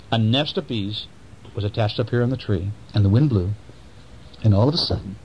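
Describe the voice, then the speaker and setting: Middle aged dad doing a bedtime story for kids, really up and down voice, bit of hiss. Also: male voice, on the low side, expressive, normal speed, vocal fry, muffled recording. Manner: playful, repeats and checks with listeners. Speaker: father reading bedtime stories to children. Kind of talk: improvised bedtime storytelling with chat to children. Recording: faint noise, homemade recording.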